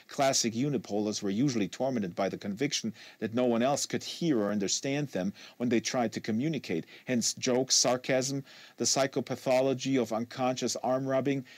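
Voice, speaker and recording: A man reading a literary novel aloud in a measured, deliberate narration. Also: lowish voice, husky, no echo. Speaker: reader.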